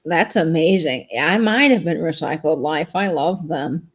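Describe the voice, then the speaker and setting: A woman's voice: sits low, unhurried, slightly rough. A woman co-hosting a casual livestream chat and reading out viewer comments in an easygoing, enthusiastic way.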